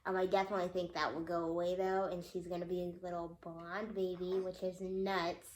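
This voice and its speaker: A woman's voice: on the low side, level tone, a little fast. A young woman, a mother, giving a casual vlog update, a little congested and nasal, talking in a loose way full of ums and likes. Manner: chatty, lots of ums and likes. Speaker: vlogger. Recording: echoey room.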